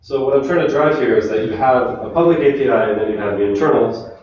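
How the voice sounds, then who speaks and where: A man from Finland giving a relaxed technical talk to a meetup audience, chatting with the crowd. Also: mid-range voice, quick pace, a little hiss or hum. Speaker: conference speaker. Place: large echoey meetup room. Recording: distant, roomy, dull room recording.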